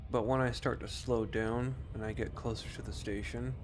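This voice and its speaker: A man's voice: fairly even intonation, normal speed, music in the background. A man streaming a game, giving casual commentary and short voice commands. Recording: clear cabin rumble behind the voice. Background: spaceship game ambience.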